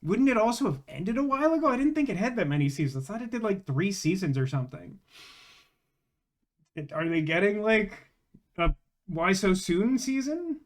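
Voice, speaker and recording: High-voiced man chatting on a livestream. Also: very animated, normal speed. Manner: rambling, thinking aloud. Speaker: livestream host, gamer. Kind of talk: casual livestream chat to viewers.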